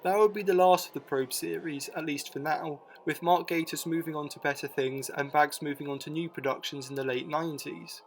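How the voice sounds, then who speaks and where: A man's voice: on the high side, steady tone, brisk, hardly any pauses, slight background noise. A man narrating a scripted documentary video in an informal, matter-of-fact way. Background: music bed.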